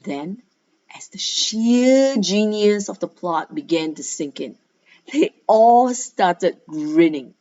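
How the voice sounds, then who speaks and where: Female teacher for guided reading lesson: very slow pace, instructive questioning, emphatic key words, slightly muffled lo-fi recording. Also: medium pitch, animated, warm tone. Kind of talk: guided read-aloud lesson with questions and explanations. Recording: dull, lo-fi sound.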